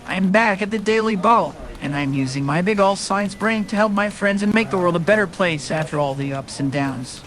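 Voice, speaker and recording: Earnest young science-whiz hero, male actor, high-pitched and resolute, comic dub with slight ambient noise. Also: moderate pace, hardly any pauses, a bit bright. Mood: hopeful. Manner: earnest, narrating inner thoughts.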